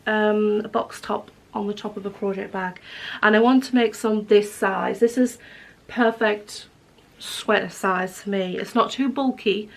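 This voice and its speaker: A woman vlogging about knitting and sewing at home, her voice hoarse and croaky from a cold, rambling with lots of ums and self-corrections. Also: mid-range voice, fairly even intonation, unhurried. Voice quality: croaky, husky. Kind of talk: casual vlog chat. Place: home living room with wood stove.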